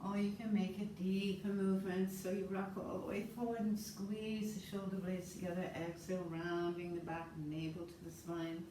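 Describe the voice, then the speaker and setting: A woman instructor guiding a yoga class with slow, soothing, calm cues. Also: mid-range voice. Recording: roomy, distant mic with faint noise.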